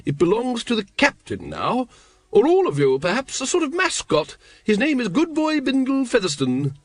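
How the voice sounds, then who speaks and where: A man plays a hearty lady in a comic storybook. The voice is high and swoops freely, plummy and gushing. Nothing ruffles this breezy, emphatic woman. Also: huge pitch swings, moderate pace, no room sound, full character voice. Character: hearty upper-class middle-aged lady, breezy and unflappable.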